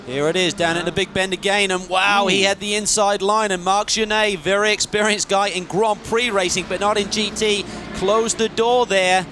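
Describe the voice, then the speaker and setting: A man's voice: sits high, fairly even intonation, normal speed, few pauses, sharp tone, a little hiss or hum. A man giving color commentary on a sports car race, explaining technical details in a conversational way. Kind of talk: TV motorsport analysis.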